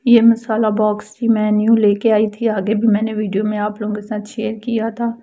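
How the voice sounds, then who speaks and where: A woman's voice: medium pitch, monotone, normal speed, mellow. A woman with a Pakistani accent giving a casual how-to voice-over as a home vlogger, explaining things politely and repetitively.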